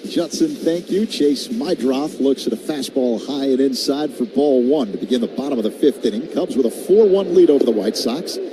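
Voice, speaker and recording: A man's voice: medium pitch, expressive, normal speed, hardly any pauses. A man doing live radio play-by-play of a baseball game in clipped, descriptive phrases. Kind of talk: live radio sports commentary. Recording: loud ambient ballpark noise behind.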